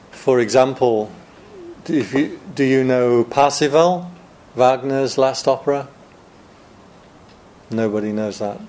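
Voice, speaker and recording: A man's voice: medium pitch, a little mellow, slight background noise. A man, a Buddhist monk, giving a chatty Dharma talk to a room of students, joking and laughing often.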